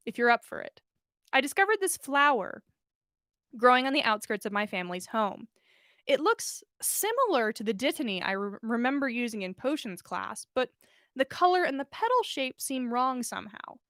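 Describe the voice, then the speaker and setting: High-voiced, expressive woman reading a story. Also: no room sound, studio quality. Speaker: podcast host reading fan fiction.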